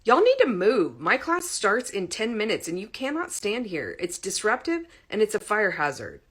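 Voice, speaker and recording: A woman's voice: medium pitch, wildly swooping pitch, normal speed, breathy edge, pitched above the performer's own voice. A woman voicing a stern, exasperated female college professor with a firm, controlled manner in an audiobook. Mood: stern, irritated.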